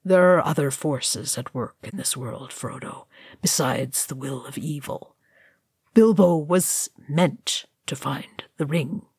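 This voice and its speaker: A woman voicing a gentle, wise old male wizard in a storybook, hushed, breathy and measured. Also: sits low, no room sound, studio quality, voice pushed down, exaggerated voice.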